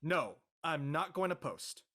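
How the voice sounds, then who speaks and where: A man playing an exasperated, reluctant high-school boy in an amateur script reading, with a whiny, protesting manner. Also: big pitch swings, a little slow, bright, forceful tone, heavily acted. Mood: fed up. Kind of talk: amateur audio drama table read.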